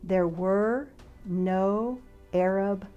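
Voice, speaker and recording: A woman giving an informal explanatory monologue in a conversational, speculative way, halting with pauses. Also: medium pitch, muffled recording, soft background music. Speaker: speaker, flight attendant and author.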